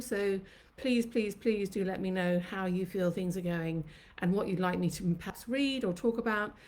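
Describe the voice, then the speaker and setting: Chatty woman in her fifties reminiscing. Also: mid-range voice. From Sri Lanka. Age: 50s. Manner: chatty and reflective, reminiscing at length. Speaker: host of her own channel. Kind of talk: live-streamed personal storytelling. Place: home kitchen.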